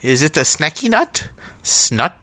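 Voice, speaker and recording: A man co-hosting a podcast in casual chat, animated and laughing often. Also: a little high, a little slow. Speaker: podcast co-host.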